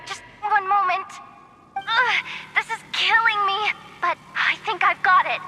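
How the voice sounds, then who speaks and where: High, frazzled young techie. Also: female voice, pushed and bright. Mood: determined. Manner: flustered, self-talking. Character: plucky, frazzled young female ex-technician, resourceful. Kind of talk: anime video game voice acting.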